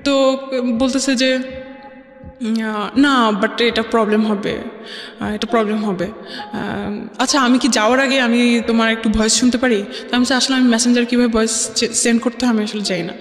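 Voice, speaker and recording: A woman's voice: fairly high pitch, steady tone, moderate pace. A young adult woman with a Bangladeshi accent tells a personal story conversationally, mixing in English words. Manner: mixes English words into her talk. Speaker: guest telling her life story. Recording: roomy reverberant studio, music underneath.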